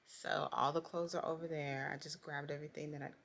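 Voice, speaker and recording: A woman costume designer talking casually through a vlog, low-key and tired from long late-night work. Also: level tone, brisk, gravelly, a bit bright. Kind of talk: casual vlog talk and voice-over. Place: theater at night.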